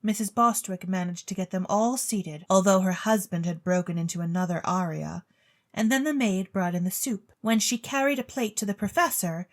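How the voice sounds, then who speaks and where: A woman reading a story as a theatrical narrator, with expressive, precise literary delivery. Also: medium pitch, moderate pace. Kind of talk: theatrical scripted storybook narration for an audio drama. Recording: music bed under the voice. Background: music.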